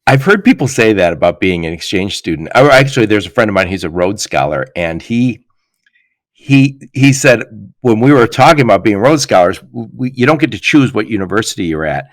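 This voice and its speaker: A man hosting a podcast interview, telling stories in a lively way and laughing often. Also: fairly low pitch, brisk, no echo. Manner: animated storyteller, laughs often. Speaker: podcast host. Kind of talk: casual interview chat.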